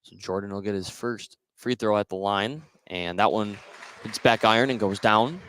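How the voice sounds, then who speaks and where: A man's voice: on the low side, moderate pace, a bit bright. Male basketball play-by-play man, noisy background. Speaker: play-by-play commentator. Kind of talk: live high school basketball radio commentary. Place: courtside at an indoor basketball game.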